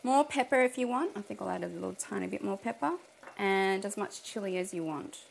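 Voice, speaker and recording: A woman's voice: medium pitch, fairly quick, gravelly, slight background noise, low-quality recording. Chatty Australian woman cooking at home. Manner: chatty, with frequent fillers. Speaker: cooking show contestant. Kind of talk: casual explanation while cooking. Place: home kitchen indoors, later a restaurant kitchen.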